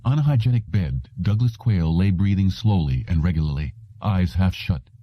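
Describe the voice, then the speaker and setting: A man's voice: sits low, expressive, moderate pace. A man reading a story aloud as an audiobook narrator, in scripted narration. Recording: roomy, dull lo-fi audio.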